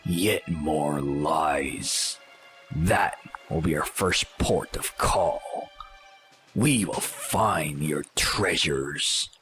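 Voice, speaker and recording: A menacing captain of ancient sea raiders in an audio drama. His voice is deep and his delivery is unhurried, dripping with haughty contempt. Prominent music plays underneath. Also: a bit bright, heavily acted. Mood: menacing, greedy. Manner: slow, deliberate, contemptuous delivery.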